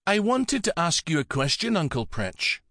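A younger woman in an audiobook, voiced by a male narrator, tender and anxious. The voice sits well above a normal male pitch and moves expressively, earnest and full of feeling. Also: very animated, normal speed, bright, forceful tone, no room sound, studio quality, exaggerated voice. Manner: pleading, emotional, earnest.

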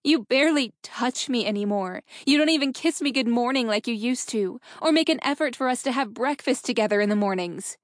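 A woman's voice: on the high side, brisk, few pauses, no room sound, pitched above the performer's own voice, close to an everyday voice. In a dry recording, an anguished wife acted out by a woman narrator confronts her husband in audiobook dialogue. She is accusing and emotional, demanding the truth with open hurt and anger. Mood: bitter hurt.

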